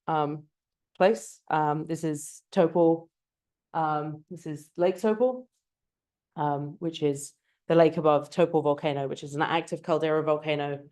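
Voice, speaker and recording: A woman's voice: deep voice, warm tone. A woman from England, a postdoctoral researcher, giving an academic seminar talk in a hesitant way, with many ums and uhs. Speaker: guest speaker, postdoctoral researcher.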